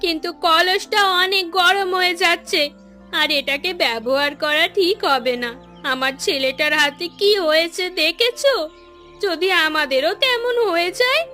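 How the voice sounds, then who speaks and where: A woman playing an anxious, pleading village wife and mother in an animated fairy tale. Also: very high voice, moderate pace, soft background music, heavily acted. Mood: worried.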